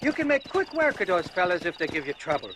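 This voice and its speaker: An actor playing a weaselly Irish rogue in a western game, wheedling and blustering in an Irish accent. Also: male voice, high voice, very animated, fast talker, background noise. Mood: ingratiating. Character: weaselly drunken Irish male rogue and liar. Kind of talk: animated western game acting.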